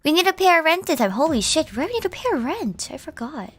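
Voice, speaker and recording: A woman live streamer chatting casually while slightly ill, her voice rough and lower than usual, giggling often between rambling remarks. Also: big pitch swings, fairly quick, soft background music.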